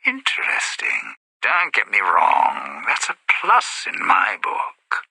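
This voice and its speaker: A cynical ghoul crooner from a video game, smug and always scheming. His voice is very sharp and badly rasped, and his wry phrasing swings up and down like an old showman's. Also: deep voice, wildly swooping pitch, slow, no room sound, full character voice, rough recording. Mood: sly probing. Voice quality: raspy, gravelly. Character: cynical scheming ghoul lounge singer, smug con man. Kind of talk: video game character voice lines.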